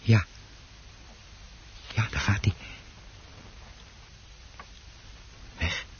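An actor playing a stubborn, obsessive hobby gardener and exasperated husband in a radio play, with a defensive, insistent way of talking. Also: male voice, slow, close to an everyday voice. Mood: eerily eager, uneasy. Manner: defensive, insistent, muttering to himself when alone. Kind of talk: Dutch radio play acting. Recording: dull, noisy old radio recording.

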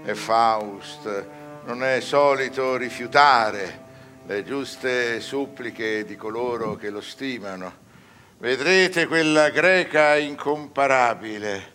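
An actor playing a proud, learned scholar haunted by damnation, declaiming solemnly in a stage verse tragedy. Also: male voice, medium pitch, bright, forceful tone. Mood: solemn, hushed. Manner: solemn, measured, theatrical declamation. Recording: music under the voice.

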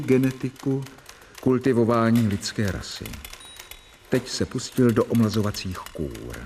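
A man's voice: medium pitch, a little mellow, a little hiss or hum, close to an everyday voice. An actor playing a devoted young male medical assistant, earnest and reverent, narrating in a radio play.

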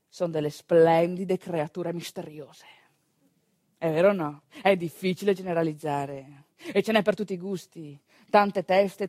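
A woman with a northeastern Italian accent performing a theatrical storytelling monologue in a conversational, wry, expressive manner. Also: mid-range voice, dry recording, clean studio sound. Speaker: stage actress, storyteller.

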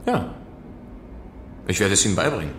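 An actor playing a curt, practical spaceship officer in a radio play, brusque and no-nonsense. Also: male voice, sits low, big pitch swings, moderate pace, reverberant, noisy background. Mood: calm. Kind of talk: German radio play acting.

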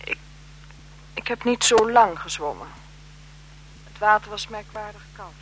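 A woman's voice: medium pitch, lively intonation, a little slow, background noise, lightly acted. An actress playing a formal, guarded French marquise, a bored wife and mother, in a 1970s Dutch radio drama. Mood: evasive, uneasy. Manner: formal, hesitant, evasive with her companion.

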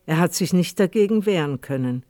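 Guy narrating a radio play, serious n measured, slightly high voice, very dry sound. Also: lively intonation, a little mellow, no room sound.

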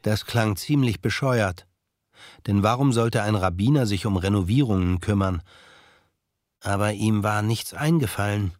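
A man's voice: on the low side, measured pace, no room sound, clean studio sound. A man reading scripted literary narration as the narrator of a German audio drama. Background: music.

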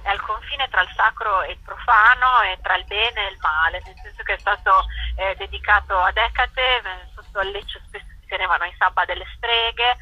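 A woman speaking as a festival director in a conversational interview, explaining things with halting, hesitant pauses. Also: mid-range voice, slightly rough, no room sound, highs cut off.

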